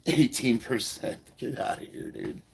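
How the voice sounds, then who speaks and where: A man's voice: medium pitch, wildly swooping pitch, a little slow, gravelly, darkish tone, husky. A man in his fifties with an American accent, hosting a casual livestream and answering viewer comments bluntly with some swearing, stuffed up from a head cold. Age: 50s. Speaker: livestream host and powerlifter.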